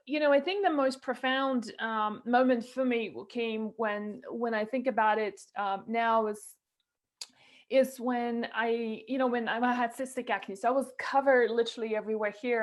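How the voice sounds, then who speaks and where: A middle-aged woman guest on a video call, telling her own story with animated gestures and frequent fillers and restarts. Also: on the high side. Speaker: guest, nutrition coach. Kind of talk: candid interview storytelling. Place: indoors at a window with a snowy forest view. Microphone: video call, webcam.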